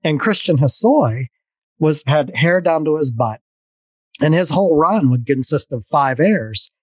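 A middle-aged man hosting a podcast over a video call, telling rambling, animated stories with many restarts. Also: a little high, measured pace, slightly rough, no echo. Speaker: podcast host. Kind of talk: casual video-call conversation. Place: dim home room. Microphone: headset on a video call.

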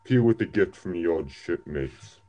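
A man's voice: low voice, expressive, moderate pace, mellow. A man playing a menacing blind pirate with threatening sailor talk, in an amateur radio play. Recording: dull lo-fi remote recording.

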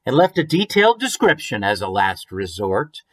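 A man in his forties with an American accent, hosting a story time in an expressive, conversational way with chatty asides. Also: fairly low pitch, normal speed. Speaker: author reading his picture book. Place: small room at home, indoors.

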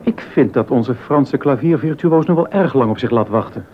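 Man playing a gossipy sympathetic friend in a baroque period drama, mid voice, measured, dry humour, bit muffled. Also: medium pitch, lightly acted. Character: baroque musician's worldly, sympathetic confidant, gossipy. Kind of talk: Dutch docudrama dialogue. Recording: dull sound with background noise.

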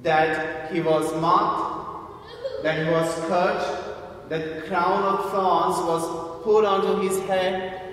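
A man delivers a homily at a very slow, deliberate pace, leaning on repetition and rhetorical questions. He sounds far from the microphone in a large church, with a long, heavy echo around his words. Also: little pitch movement, a bit bright. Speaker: priest preaching. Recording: echoey church, distant mic, faint rumbling noise.

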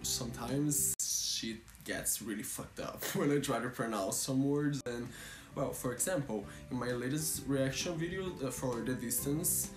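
A young man from Brazil, speaking English with a Brazilian accent, chatting playfully as a music reaction vlogger, swearing casually and breaking into high excited squeals. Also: a little slow. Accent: Brazilian Portuguese. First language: Portuguese. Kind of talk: casual chat. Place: indoor room with a pink backdrop. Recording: roomy echo with music behind.

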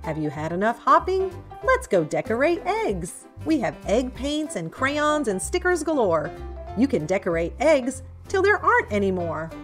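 Cheerful, affectionate storybook mother pig played by a woman, animated singsong delivery over soft music. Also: a little high, normal speed, pitched above the performer's own voice. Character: doting, cheerful mother pig of a little piglet.

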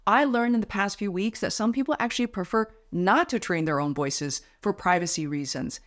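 A woman in her thirties, a native Mandarin Chinese speaker, chatting upbeat through a software tutorial in her home studio. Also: medium pitch, moderate pace, no echo. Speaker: host.

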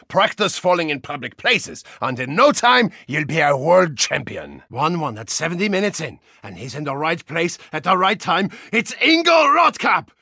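An actor playing a brash, hot-tempered German football hooligan action hero in a video game, with a German accent, a gravelly rasp and bombastic delivery. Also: male voice, a little high, big pitch swings, moderate pace, few pauses, no echo, clean studio sound, exaggerated voice. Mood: ecstatic. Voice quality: gravelly, raspy. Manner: bombastic, theatrical, swinging from growl to shout. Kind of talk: video game character voice lines.

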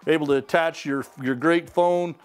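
A man's voice: a little high, steady tone, normal speed, smooth. A middle-aged man hosting a sweepstakes drawing in a small studio, casually announcing winners' names and towns off the cuff. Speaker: sweepstakes host. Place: indoor studio with wood walls and mounted trophies.

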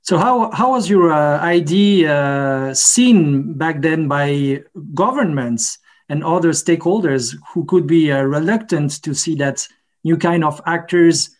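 A man's voice: a little high, measured pace. A man moderating a video-call panel, asking long, searching questions.

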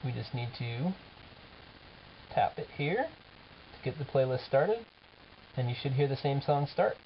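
A man's voice: medium pitch, expressive, a little slow, slight background noise. Man in casual demo, dull lo-fi sound. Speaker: app developer presenting a demo. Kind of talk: informal software demo narration. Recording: dull lo-fi camera audio, music behind.

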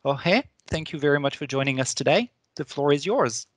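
Man, fairly high voice, formal host reading a scripted intro, dry sound. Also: animated, moderate pace, a bit bright, slightly rough, dry recording. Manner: formal, read-out. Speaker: webinar host.

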